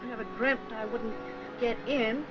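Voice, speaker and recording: An actress playing a fussy, talkative, well-meaning older aunt in a 1950s Hollywood film, chatty and fretful. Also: female voice, on the high side, steady tone, moderate pace, natural voice. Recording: old film soundtrack, faint music.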